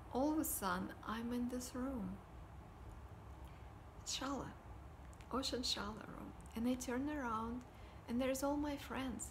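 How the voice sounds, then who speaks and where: A woman, talking casually to camera at home in a soft, often whispery voice. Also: on the high side, background noise. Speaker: vlogger. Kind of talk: casual personal talk to camera. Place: living room at home, indoors. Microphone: device camera at desk distance.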